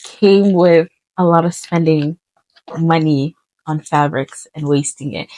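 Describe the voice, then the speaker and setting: A woman's voice: low-pitched, takes it slowly, warm tone, dry recording, rough recording. A woman, chatting casually in a vlog about her path into fashion design, with lots of fillers and self-corrections. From Uganda. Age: 20s. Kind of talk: casual vlog storytelling while doing makeup.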